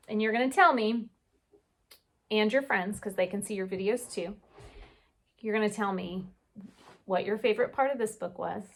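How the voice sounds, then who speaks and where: A woman reading a picture book aloud like a teacher, with animated, drawn-out delivery and chatty asides. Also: medium pitch. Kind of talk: expressive children's read-aloud with chatty asides.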